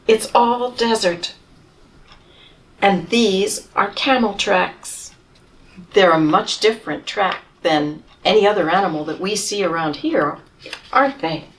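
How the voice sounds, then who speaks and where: A woman reading a picture book aloud to children, gently explaining the pictures and asking them questions. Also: medium pitch, slow pace, recorded from a distance, slight background noise, low-quality recording.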